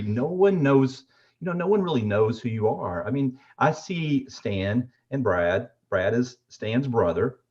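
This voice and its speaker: A man with a low voice chats on a video call, and the sound is dull and muffled. He talks freely, with plenty of fillers along the way. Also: a little mellow. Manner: chatty and praising, with many fillers. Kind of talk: casual video call chat.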